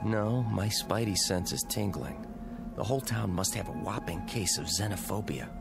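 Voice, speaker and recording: An actor playing a level-headed husband in a vintage radio drama, talking in a calm, dry, reassuring way. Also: male voice, lowish voice, moderate pace, creaky, over loud music. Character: level-headed husband exploring an eerie empty town.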